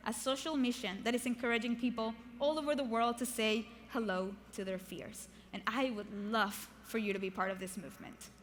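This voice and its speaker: A young woman, not a native English speaker, giving a lively motivational keynote on a large arena stage, chatty and asking the audience questions. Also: fairly high pitch, a little hiss or hum.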